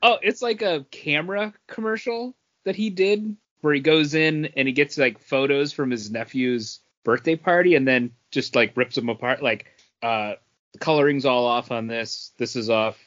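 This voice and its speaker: A man's voice: mid-range voice, normal speed, a bit bright, no room sound, lo-fi sound. A man co-hosting a podcast in casual conversation. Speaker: podcast co-host. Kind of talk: casual chat.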